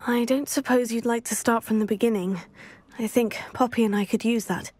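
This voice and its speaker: Actress as teenage game adventurer: husky, level-headed, helpful, slightly high voice. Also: female voice, steady tone, fairly quick, darkish tone, no room sound, natural voice. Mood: questioning, determined. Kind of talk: video game voice acting.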